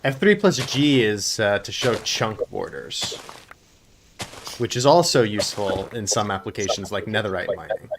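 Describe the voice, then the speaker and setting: A man hosting casual online game chat, relaxed and dry, who explains game details to his friends. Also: on the low side, moderate pace, background noise. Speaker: channel host, gamer. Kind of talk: casual chat while playing.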